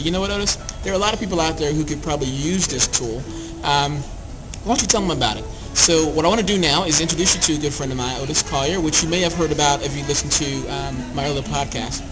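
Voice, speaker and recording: A man's voice: fairly high pitch, low-quality recording. A man hosting a podcast in a casual, chatty and playful way, as if introducing a friend. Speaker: podcast host. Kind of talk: casual chat introducing a guest.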